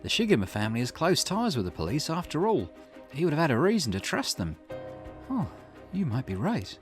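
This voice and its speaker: A man's voice: fairly high pitch, wildly swooping pitch, brisk, faint music behind, pitched above the performer's own voice. A man voicing an anxious, earnest grieving mother in a visual novel, speaking hesitantly. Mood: dawning realisation.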